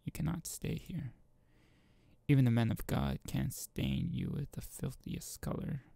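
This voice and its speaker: A man's voice: mid-range voice, unhurried, creaky, warm tone, dry recording. A man voicing a stern, controlling mother in an amateur visual novel read-aloud, commanding and belittling.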